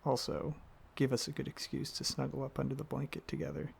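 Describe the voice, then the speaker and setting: A man playing an affectionate, excitable dragon boyfriend in an ASMR roleplay, talking casually and a little haltingly, with a gravelly voice. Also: mid-range voice, full character voice. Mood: affectionate, relaxed. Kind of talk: ASMR roleplay, softly addressing the listener as partner.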